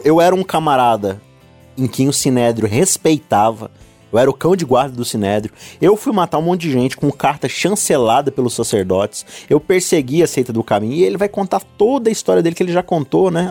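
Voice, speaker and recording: A man's voice: on the high side, no room sound. A man co-hosting a casual Bible discussion podcast, joking and acting out lines from the story. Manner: jokey, animated, acts out quotes. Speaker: podcast co-host.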